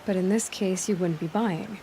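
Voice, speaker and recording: A woman's voice: mid-range voice, moderate pace, warm tone, slight background noise, natural voice, poor audio. An actress playing a self-assured young woman hunter in a video game, dry, guarded and skeptical. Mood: wry, skeptical. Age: young adult. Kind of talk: video game dialogue acting. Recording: music clearly behind the voice.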